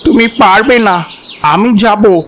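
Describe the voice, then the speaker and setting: A man's voice: high-pitched, slow pace, a bit bright. A man voicing a protective, earnest and polite father duck in a cartoon. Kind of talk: cartoon voice acting.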